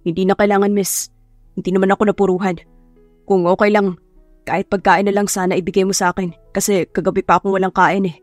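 A woman's voice: medium pitch, flat delivery, fast, dry recording, faint music behind, close to an everyday voice. Casting: woman to voice meek, polite young male beggar for audiobook romance, subdued delivery, close dry sound. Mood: pleading.

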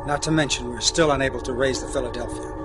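A man's voice: medium pitch, fairly even intonation, quick pace, over music, close to an everyday voice. A middle-aged man playing a stern, commanding general in a video game cutscene, clipped and authoritative. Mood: stern, measured.

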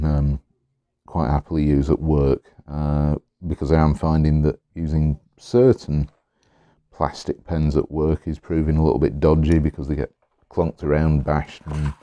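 Guy, really low dark voice, kinda hoarse, rambly desk unboxing chat, dry sound. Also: no echo. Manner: rambling, chatty, with many ums and restarts. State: slightly hoarse. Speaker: pen collector vlogger. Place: indoors at a desk, overhead camera on hands.

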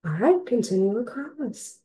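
A woman with a slightly raspy, cold-hoarse voice, patiently teaching a crochet class step by step and counting stitches aloud. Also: a little high, sing-song, a little slow, mellow. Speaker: crochet instructor. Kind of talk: step-by-step craft tutorial. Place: indoors, online class.

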